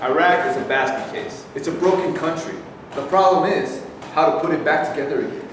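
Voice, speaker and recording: An earnest young soldier, acted by a man in a staged reading, talks plainly in a slightly high voice. He is heard at a distance in a very echoey room with faint noise. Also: a little fast, close to an everyday voice. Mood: matter-of-fact. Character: earnest, troubled young soldier deployed to Iraq.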